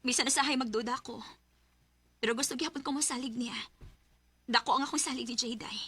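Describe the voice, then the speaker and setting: A trusting, patient young-sounding girlfriend in a Cebuano radio drama. Her voice sits very high and rings brightly. She speaks with earnest, devoted feeling. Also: fast talker, husky. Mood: defensive, trusting. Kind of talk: Filipino radio drama acting.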